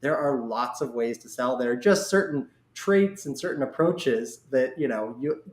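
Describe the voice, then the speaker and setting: A man's voice: mid-range voice, lively intonation, moderate pace, reverberant. A man hosting a casual conversational podcast, talking informally and often acting out short quotes. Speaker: podcast host.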